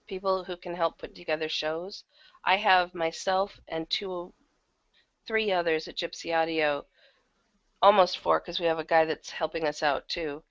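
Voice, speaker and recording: Woman with a creaky, lower voice, lo-fi. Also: steady tone, normal speed, fairly bright tone, dry recording. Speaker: guest, audio drama producer. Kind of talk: casual interview talk.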